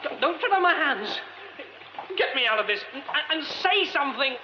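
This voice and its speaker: A man's voice: a little high, sing-song, a little slow. An actor playing a young theatre man who mutters to himself wryly and stammers under strain. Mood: panicked. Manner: muttering to himself, wry, later frantic stammering. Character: young male theatre man exploring a derelict theatre. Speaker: lead character. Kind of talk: radio drama acting. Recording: dull old radio recording with hiss.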